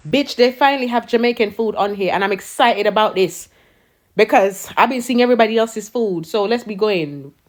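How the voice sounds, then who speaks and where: A woman's voice: medium pitch, moderate pace. A woman, ranting casually and swearing freely in short social media clips. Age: 30s. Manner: brash, sweary, animated. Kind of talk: casual storytime rants.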